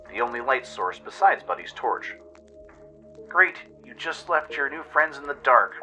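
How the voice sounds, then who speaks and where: A man's voice: lowish voice, bright, forceful tone, low-quality recording. A man reading scripted first-person story narration for a radio play.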